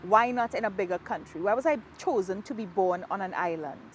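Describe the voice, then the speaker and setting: A woman from Trinidad and Tobago with a Trinidadian accent, an author talking about her book in an outdoor interview in a park, reflective and quick to laugh. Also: medium pitch, a little hiss or hum. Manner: warm, reflective, laughs easily. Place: outdoors in a park, rain falling.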